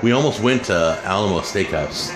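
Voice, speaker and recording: A man's voice: low-pitched, expressive, loud music behind the voice, rough recording. A man in his forties hosting a casual food vlog, chatty and rambling, filmed on a handheld camera in a busy barbecue restaurant. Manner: chatty, rambling, quick laughs. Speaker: food show host. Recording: loud crowded restaurant noise.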